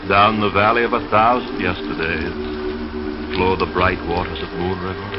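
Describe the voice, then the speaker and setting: A man reading poetry and sponsor messages as a late-night 1930s radio announcer, in a dreamy, solemn, drawn-out delivery. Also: sits low, steady tone. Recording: muffled vintage radio sound over music. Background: dreamy music.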